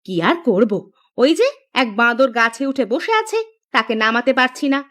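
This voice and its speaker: A woman's voice: a little high, very animated, moderate pace, fairly bright tone. A woman acts a village housewife and mother in a kids' cartoon. Her voice sits well below a child's, firm and motherly, with a scolding edge. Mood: scolding, worried.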